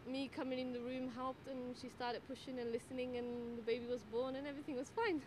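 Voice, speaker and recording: A woman from the Netherlands who works as a midwife and tutor, answering interview questions in a thoughtful way with many fillers and pauses. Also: high voice, flat delivery. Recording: noisy background ambience.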